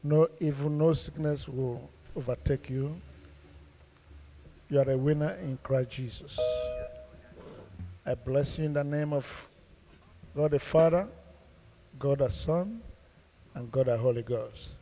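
A man leading a church welcome, speaking a slow, fervent blessing prayer into a handheld microphone in a church hall. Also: medium pitch, dark tone. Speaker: pastor.

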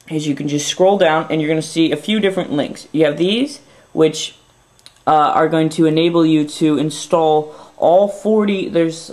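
Stuffy-nosed young man, echoey room. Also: a little high. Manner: casual, upbeat, filler-heavy. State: stuffy nose. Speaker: tech video host. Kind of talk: casual how-to demonstration.

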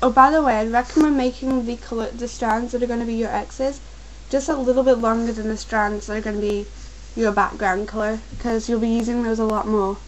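A woman's voice: on the high side, steady tone, a little hiss or hum, low-quality recording. Young woman with a cold explaining knots. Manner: patient, repetitive instructions, slow and careful at times. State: getting a cold. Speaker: crafts tutorial maker. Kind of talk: step-by-step craft instruction. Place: at a home desk with a computer.

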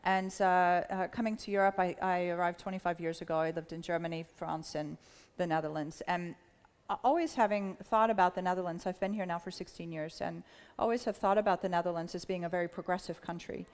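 Lower-voiced woman panelist in a big hall. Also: fairly even intonation. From United States. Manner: measured, with many fillers and restarts. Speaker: panelist, company executive. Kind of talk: panel discussion. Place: large hall with a live audience. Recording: long hall reverb.

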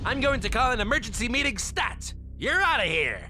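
A man as a high-voiced, bossy cartoon captain. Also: very animated, brisk. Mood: stern. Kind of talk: animated comedy dialogue. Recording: music behind the voice.

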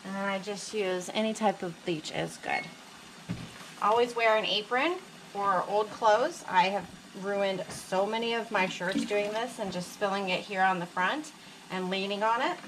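A woman's voice: mid-range voice, moderate pace, rough recording. A woman casually explaining her kitchen routine step by step in a plain, practical way with some fillers. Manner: plain, practical, talks while working with fillers. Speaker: homesteader showing her routine. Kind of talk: casual how-to narration. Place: home kitchen at the sink. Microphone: camera mounted above the sink. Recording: running water noise behind.

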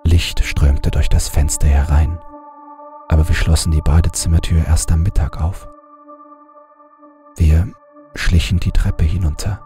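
A man reading a horror story aloud in a calm, measured first-person narration. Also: rumbling low, over music.

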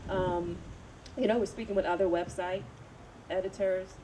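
A woman's voice: mid-range voice, steady tone, normal speed, dark tone. A woman in her thirties answering questions on a panel, thoughtful, with many fillers and restarts. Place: outdoor evening event. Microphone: handheld microphone. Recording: distant camera audio, noisy outdoors.

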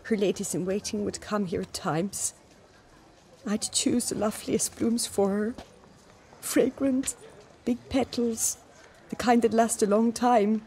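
An actress playing a humble, mournful flower seller in a video game, plain-spoken and wistful, in short phrases. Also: female voice, mid-range voice, fairly even intonation, a little slow, mellow, slight background noise, close to an everyday voice. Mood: grieving.

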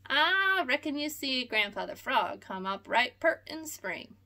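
A woman's voice: high voice, very animated, a little slow, sharp tone, pitched above the performer's own voice, exaggerated voice. A woman doing a folksy old possum. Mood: jolly. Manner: drawling dialect, chuckling. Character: folksy old male possum, jolly and teasing.

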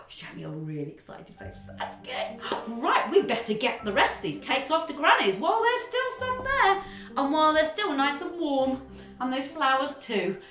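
A woman playing an excitable, kindly young girl from a fairy tale, with gasping, exaggerated delivery in interactive children's theatre. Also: high voice, normal speed. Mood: excited, gentle. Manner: breathy gasps, exaggerated expressive delivery. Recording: echoey room with background music.

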